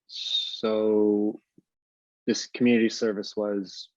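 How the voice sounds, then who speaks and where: A man's voice: on the low side, flat delivery, takes it slowly, no echo. A man playing an uneasy, hesitant dinner guest asking probing questions in a screenplay table read, on a dull microphone. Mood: uneasy, questioning.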